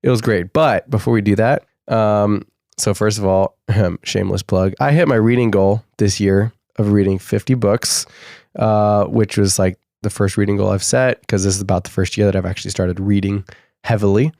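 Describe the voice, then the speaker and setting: A young man with a low, slightly husky voice, sick with a cold, chatting loosely as a podcast co-host. He rambles with plenty of fillers. The sound is close and dry, with no room echo. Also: fairly even intonation, normal speed, darkish tone. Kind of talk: casual podcast chat.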